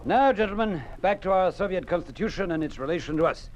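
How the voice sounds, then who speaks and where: A genial party secretary in a muffled, noisy vintage radio drama. His voice sits fairly high for a man. He talks smoothly and persuasively, with deliberate little hesitations. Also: lively intonation. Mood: jovial, expansive. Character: genial, talkative Communist party secretary and director at a college. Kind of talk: 1950s radio drama acting. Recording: muffled, noisy old 1950s radio recording.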